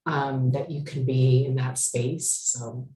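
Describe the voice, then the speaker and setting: A woman's voice: rumbling low, level tone, takes it slowly, mellow. A woman in her forties, a novelist, chatting casually about her writing with many fillers and restarts. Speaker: novelist guest. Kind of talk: casual conversation. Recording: roomy echo.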